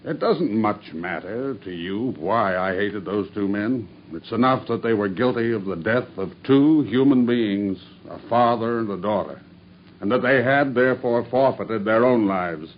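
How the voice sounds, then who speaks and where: An actor playing a grim, dying male cab driver who makes a steady, grave confession in an old radio drama. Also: fairly low pitch, a little slow, a little hiss or hum. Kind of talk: 1950s BBC radio drama acting. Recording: dull old radio broadcast.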